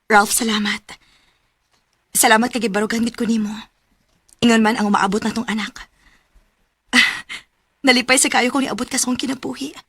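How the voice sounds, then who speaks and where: A woman's voice: on the high side, steady tone, normal speed, pauses often, fairly bright tone, husky, no room sound. An actress playing a devoted, grateful young woman in love, tender and sincere, in a radio drama with a Cebuano accent.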